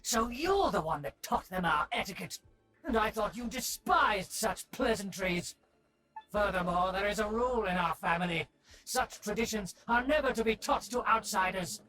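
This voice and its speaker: A man's voice: sits high, lively intonation, normal speed, pushed and bright, faint music behind, poor audio. An actor playing a haughty middle-aged nobleman in a video game, a scheming uncle with a pompous, formal, theatrical manner. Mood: stern, imperious. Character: haughty aristocratic uncle scheming to restore his clan's rule.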